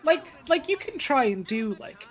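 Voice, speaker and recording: A fandub performer chatting casually on a livestream in a halting, rambling way, animatedly quoting their own thoughts. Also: sits high, sing-song. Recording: music playing behind the voice. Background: background music.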